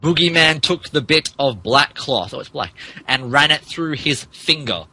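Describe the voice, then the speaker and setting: A man's voice: a little high, animated, moderate pace, heavy background noise. A man narrating a comic dramatic reading as a podcast co-host, dropping in casual asides.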